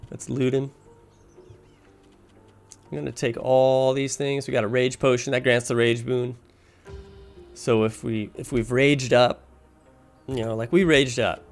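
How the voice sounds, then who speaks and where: A man casually talking through a video game as its guide, explaining his choices and thinking aloud as he plays. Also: medium pitch. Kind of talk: casual walkthrough commentary while playing. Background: music.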